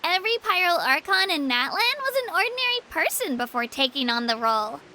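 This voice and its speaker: A woman's voice: very high voice, very animated, a little fast, pushed and bright, exaggerated voice. A woman voicing a cheerful, easygoing young girl guide in a fantasy video game, friendly and reassuring. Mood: explaining. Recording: game music behind.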